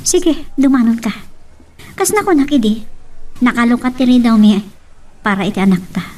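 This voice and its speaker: A woman's voice: on the high side, moderate pace, dark tone, distant mic, lightly acted. A woman with an Ilocano accent acting a hurt, weary but resolute young mother in a love drama. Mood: subdued.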